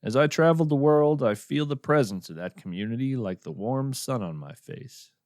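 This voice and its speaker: A man playing a kindly, whimsical naturalist who hosts a scripted fiction podcast, speaking with deliberate, theatrical earnestness. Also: medium pitch, expressive, a little mellow, no echo, clean studio sound, lightly acted. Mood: grateful, earnest. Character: kindly, grateful, whimsical male cryptid naturalist podcast host. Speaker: podcast host.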